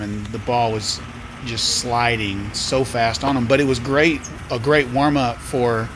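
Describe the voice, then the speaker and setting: A man hosting a casual tennis travel vlog, narrating in a relaxed, upbeat way. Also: mid-range voice, noisy background. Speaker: podcast host and tennis parent. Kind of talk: casual vlog voice-over and chat.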